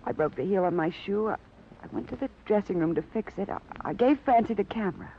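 An actress playing a jumpy, defiant young nightclub photographer, agitated, bitter and repetitive, in a 1950s radio crime drama style. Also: female voice, mid-range voice, animated, a little fast, lightly acted. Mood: anxious, evasive. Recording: muffled, faintly noisy old radio recording.

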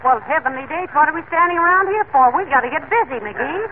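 A woman's voice: sits high, expressive, fast talker. An actress playing a level-headed, kindly wife in a 1940s radio comedy, patiently correcting her husband, heard over an old broadcast. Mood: excited. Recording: tinny old radio broadcast, faint hiss.

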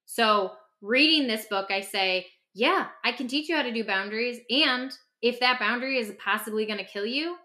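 A woman's voice: a little high, normal speed, hardly any pauses, pushed and bright. A woman speaking as a podcast host and clinician in candid conversation, passionate and emphatic, repeating phrases for stress.

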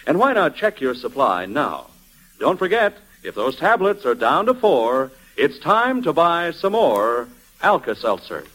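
A man's voice: fairly high pitch, big pitch swings, a little slow, a bit bright, low-quality recording. A man announcing a 1940s radio advertisement in an emphatic, persuasive manner. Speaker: commercial announcer.

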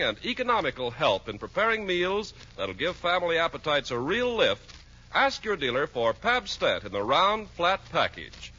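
A man's voice: a little high, pushed and bright, breathy edge. A man with an American accent announcing and narrating a script in 1940s radio style. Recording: muffled old radio recording, music behind.

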